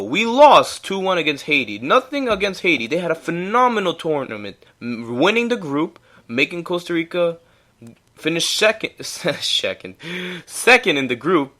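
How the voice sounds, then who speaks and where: A man's voice: fairly high pitch, sing-song, normal speed, sharp tone. A young man casually talking through a solo sports recap, chatty and rambling with laughs. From United States. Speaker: podcast host.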